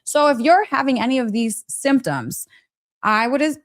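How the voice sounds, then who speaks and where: Woman wellness coach chatting live, kinda high voice, emphatic, keeps checking in with listeners. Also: animated, normal speed. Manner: conversational, emphatic, frequent check-in tags, breaks into laughter. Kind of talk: casual motivational live talk, coaching.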